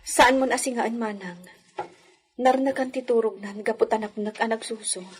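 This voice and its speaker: A young lady of a hacienda in an Ilocano radio play. She is trusting and hurt, with a lightly breathy voice pitched a bit high. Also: steady tone, normal speed. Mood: weary, evasive. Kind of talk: Ilocano radio drama acting.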